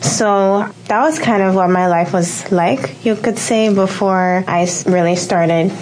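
A woman's voice: mid-range voice, measured pace, darkish tone, a little hiss or hum, rough recording. A young woman from Curacao telling her testimony in a radio studio, hesitant with many ums. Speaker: guest sharing testimony. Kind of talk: personal testimony in interview.

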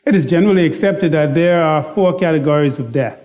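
Male preacher, warm and dark in tone, deliberate and self-deprecating. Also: fairly high pitch. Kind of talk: sermon. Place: church sanctuary.

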